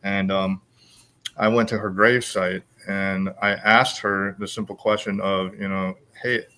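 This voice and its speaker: A man's voice: lowish voice, level tone, unhurried, bright, forceful tone. A middle-aged man hosting a podcast, telling long stories full of "you know" and restarts. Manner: rambling, filler-heavy storytelling. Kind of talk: casual chat, storytelling.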